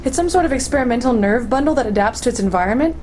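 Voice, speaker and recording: Woman playing a chatty companion, higher-pitched voice. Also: lively intonation, racing, a little mellow, close to an everyday voice. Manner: conversational, informal. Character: chatty female companion sharing family news. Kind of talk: radio play dialogue. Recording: faint background ambience noise.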